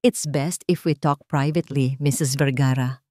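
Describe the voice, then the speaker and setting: Serious doctor delivering bad news with measured compassion, performed by a female story narrator, close dry sound. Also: extremely low pitch, steady tone, darkish tone, dry recording, voice pushed down. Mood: grave. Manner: professional, mixing English medical terms into speech. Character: grave, sympathetic doctor breaking bad news.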